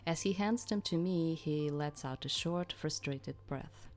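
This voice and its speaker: Lower-voiced woman playing and narrating a game. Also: unhurried, darkish tone. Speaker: let's play host. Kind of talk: reading game narration aloud with casual commentary.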